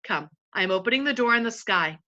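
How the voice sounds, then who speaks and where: A woman voicing a huge, wise male lion who speaks gravely and tenderly, a little lower than her own voice. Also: lively intonation, fast, sharp tone, dull audio. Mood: gentle solemn.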